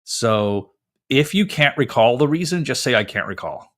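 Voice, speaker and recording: A man's voice: mid-range voice, lively intonation, normal speed, a bit bright. Male lawyer from Canada, mid-range voice, informal screen-share explainer, plenty of ums and restarts. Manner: casual, conversational, with frequent fillers and self-corrections.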